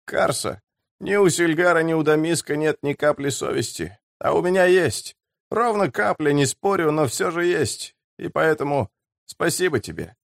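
A man voicing a talkative, friendly rogue with an ironic, animated manner in an audiobook. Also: fairly high pitch, moderate pace, gravelly, no room sound, studio quality, pitched above the performer's own voice. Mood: friendly, earnest.

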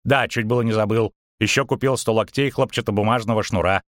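A man voicing a calm, proud shapeshifter posing as a halfling merchant, explaining things with self-assurance in an audiobook. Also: fairly low pitch, brisk, no echo, studio quality, natural voice. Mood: smug, matter-of-fact. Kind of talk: audiobook character acting.

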